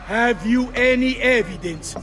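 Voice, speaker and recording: High-pitched, pompous, treacherous magistrate. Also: male voice, measured pace, fairly bright tone, heavily acted. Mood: stern, false. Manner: declamatory, formal. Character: treacherous city magistrate pronouncing judgment, cold and pompous. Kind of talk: dramatic video game acting.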